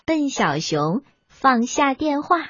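Lively, high-voiced woman storyteller. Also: takes it slowly, lo-fi sound, loud music behind the voice. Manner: expressive, acted storytelling. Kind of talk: scripted children's story narration.

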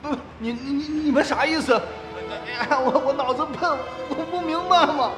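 Very shrill, flustered labourer. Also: male voice, normal speed. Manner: stammering, whining. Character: evasive, flustered nephew, a male migrant labourer. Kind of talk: Chinese radio drama acting. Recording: echoey, reverberant drama scene with music. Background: clear music.

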